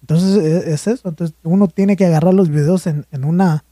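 Young male podcast co-host, Mexican accent, slangy and rambling, mellow tone. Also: a little high, fairly even intonation, brisk, no room sound, clean studio sound. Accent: Mexican Spanish. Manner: slangy, rambling, swears freely. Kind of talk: casual chat.

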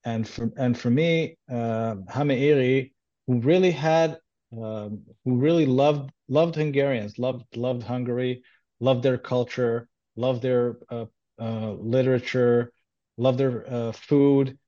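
Mellow-voiced man. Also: medium pitch, fairly even intonation, slow pace, highs cut off. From Israel. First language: Hebrew. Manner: hesitant, many ums and restarts. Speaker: translator, guest. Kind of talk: casual interview talk.

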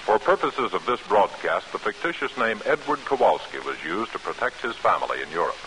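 A man's voice: fairly low pitch, big pitch swings, fairly quick, pushed and bright, poor audio. A man announcing formally from a script in 1940s radio style. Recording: faintly hissy old radio broadcast.

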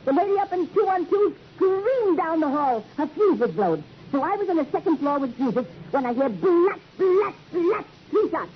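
A woman's voice: very high voice, big pitch swings, normal speed, hardly any pauses. A woman playing a cagey, slangy, streetwise proprietress of a cheap hotel in a classic radio crime drama. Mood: cagey, defiant. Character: brassy, evasive skid row hotel proprietress. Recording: dull old radio recording, faint noise.

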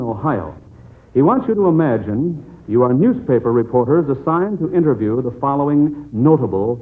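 A man's voice: medium pitch, a little hiss or hum. An American man hosting a 1940s radio quiz in a folksy, encouraging manner. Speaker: quizmaster of a children's quiz show. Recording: muffled old narrow-band radio recording.

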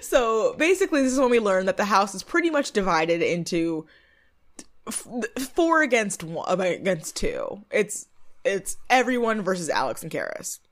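A woman in her late twenties, co-hosting a podcast, recapping a TV episode in casual, profane, lively chat. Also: mid-range voice, moderate pace. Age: 20s. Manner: animated, profane, lively storytelling. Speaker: podcast co-host.

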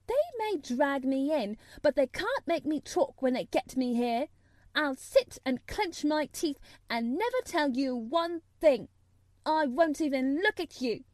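Woman playing a young girl, high voice, sour, obstinate, defiant retorts, very lively intonation, storybook character. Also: moderate pace, hardly any pauses, no echo, clean studio sound. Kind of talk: audiobook character voice.

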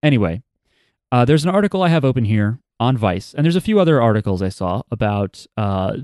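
A man's voice: medium pitch, darkish tone, dry recording, clean studio sound. A man co-hosting a casual chat, rambling and thinking aloud with plenty of fillers. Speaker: podcast co-host.

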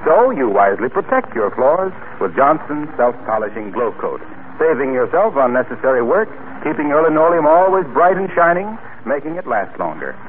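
A man announcing in 1940s radio style, delivering introductions and sponsor pitches with polished, folksy salesmanship. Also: big pitch swings, moderate pace. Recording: narrow-band, noisy vintage radio recording.

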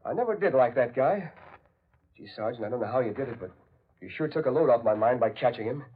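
An actor playing an earnest, dazed young man with a breathy, boyish delivery in a 1940s radio play. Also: mid-range voice, animated, quick pace. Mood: relieved. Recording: dull lo-fi old radio recording.

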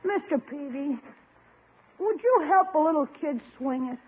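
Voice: high voice, animated, a little slow, phone-quality sound. A performer playing a scheming, whiny young schoolboy who pleads and exclaims in 1940s radio comedy style, on an old broadcast. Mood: coaxing.

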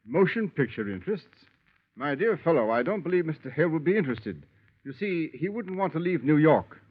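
A man's voice: a little high, sing-song, a little fast, husky. A proud old actor who has lost his fortune speaks in grand, pompous phrases. The old radio recording is dull and muffled. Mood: haughty. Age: older. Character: faded old stage actor, proud, theatrical man. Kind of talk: 1940s radio acting.

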